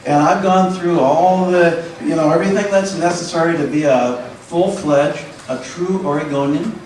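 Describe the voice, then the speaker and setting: A man's voice: fairly high pitch, normal speed, a little mellow, distant mic, a little hiss or hum. Playful man joking in an echoey room. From Pacific Northwest. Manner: playful, self-deprecating, rambling. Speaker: comedian, the woman's husband. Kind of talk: stand-up routine. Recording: echoey room, mic from afar.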